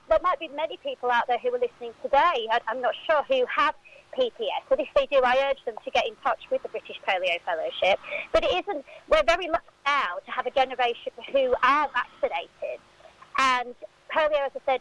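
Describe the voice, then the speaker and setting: A woman's voice: high-pitched, a bit bright, lo-fi sound. A woman speaking as a football club spokesperson, giving answers in a radio interview.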